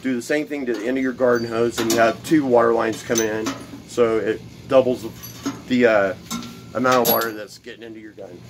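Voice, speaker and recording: Man, DIY host at his workbench, plain mid-pitched voice, loose and rambling, faint shop hiss behind him. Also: steady tone, brisk. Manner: rambling, repeats words, many fillers. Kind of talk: casual workshop how-to demonstration. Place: cluttered home workshop at a workbench.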